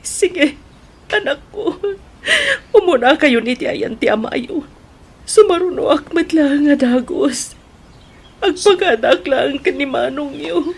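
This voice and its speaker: Actress as a high-voiced, anxious Filipino mother. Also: lively intonation, takes it slowly, gravelly, heavily acted. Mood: gentle. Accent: Ilocano. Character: anxious, tender, devout mother of ailing children. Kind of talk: Ilocano radio drama acting.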